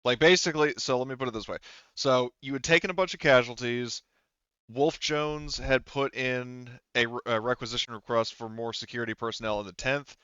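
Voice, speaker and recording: Clear-voiced man explaining a tabletop game. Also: medium pitch, fairly even intonation, fairly quick, pushed and bright, dry recording, muffled recording. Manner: patient, step-by-step clarifying. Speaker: game master.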